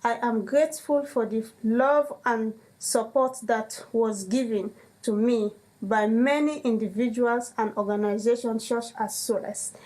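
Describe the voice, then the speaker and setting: A young woman slowly reading out a prepared personal statement of thanks. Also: fairly high pitch, darkish tone, poor audio. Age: young adult. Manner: measured, careful reading. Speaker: speaker reading a testimony.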